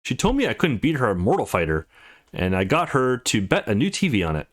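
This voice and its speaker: A man's voice: mid-range voice, wildly swooping pitch, a little fast. A man voicing a cocky, teasing young woman gamer from a visual novel, with a brash, taunting manner. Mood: sly. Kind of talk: visual novel dialogue read aloud.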